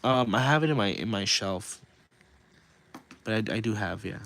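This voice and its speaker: A man hosting a casual livestream, rambling with lots of fillers, his voice raspy and hoarse from talking nonstop. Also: lowish voice, animated, normal speed, fairly bright tone. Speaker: livestream host and wrestling video creator.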